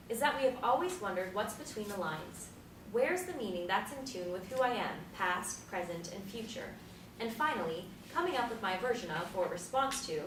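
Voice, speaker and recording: A woman acting in a stage play as an aging Beatnik, thoughtful and dryly teasing, with deliberate delivery. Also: fairly high pitch, close to an everyday voice. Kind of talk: stage play scene reading. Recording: echoey room from far off, faint noise.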